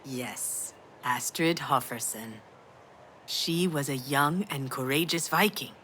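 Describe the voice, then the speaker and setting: A woman playing a sardonic, self-blaming yet tender mother in a dramatic video game, frank and emotionally expressive. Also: a bass voice, slow pace, slight background noise, heavily acted. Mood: wry enthusiasm. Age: adult.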